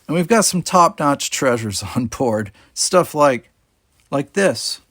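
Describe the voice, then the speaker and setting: A man playing a cocky teenage alien boy in an audiobook, a breezy, defiant fast-talker bluffing his way along. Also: a little high, lively intonation, a bit bright, dry recording, clean studio sound, voice pushed up, exaggerated voice. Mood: eager bluffing. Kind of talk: audiobook character voice.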